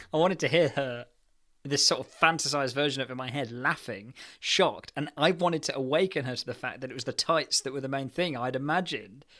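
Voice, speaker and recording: A man's voice: mid-range voice, sharp tone. A British man chatting casually as a podcast co-host, candid and self-effacing. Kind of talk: casual chat.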